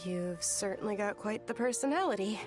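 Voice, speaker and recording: A woman's voice: mid-range voice, expressive, normal speed, close to an everyday voice. A woman playing a sincere, devout, slightly self-conscious young woman in a video game, earnest and emotive. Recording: game music under the voice.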